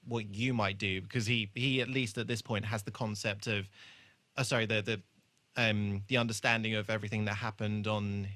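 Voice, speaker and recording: A man's voice: lowish voice, flat delivery, normal speed, pushed and bright, no room sound. A man co-hosting a podcast, chatting casually about television in a hesitant, meandering way. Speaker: podcast co-host. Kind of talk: casual chat about a TV show.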